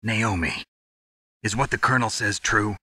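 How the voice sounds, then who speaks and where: A man playing a gruff, terse, hard-bitten soldier on a covert mission in a video game. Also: on the low side, normal speed, full character voice. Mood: suspicious. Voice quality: gruff, gravelly. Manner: terse, clipped. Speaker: voice actor. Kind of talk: dramatic video game acting. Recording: loud music behind.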